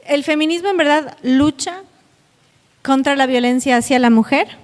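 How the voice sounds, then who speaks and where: A woman's voice: sits high, normal speed. A South American woman giving a public lecture, speaking earnestly and directly to her audience. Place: conference hall. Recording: echoey hall with long reverb.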